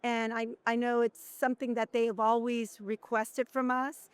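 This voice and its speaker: Middle-aged female co-host, slightly high smooth voice, conversational, dry close sound. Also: flat delivery, normal speed, dry recording. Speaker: podcast co-host. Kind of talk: conversational interviewing.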